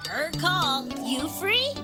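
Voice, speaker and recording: An actress playing a cocky, brash, trigger-happy young female gunner in a video game, with slangy, excitable swagger. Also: sits high, takes it slowly, over loud music, exaggerated voice. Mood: brash, offhand. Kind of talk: animated video game voice acting.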